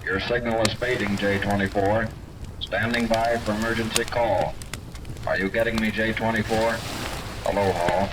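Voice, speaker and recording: An actor playing a steady, formal station operator, heard as a voice over a radio set in a 1930s serial. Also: male voice, fairly low pitch, normal speed. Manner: flat, procedural. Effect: distant radio receiver. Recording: distant, noisy old radio transmission.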